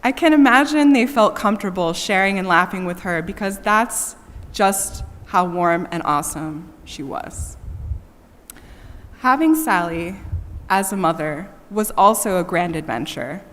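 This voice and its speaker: A woman's voice: medium pitch, animated, measured pace, fairly bright tone. Woman giving a eulogy in a reverberant hall. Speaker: eulogist, daughter speaking at a memorial. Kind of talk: prepared eulogy read aloud. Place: large church, speaking to a gathering. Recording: echoey church hall with long reverb.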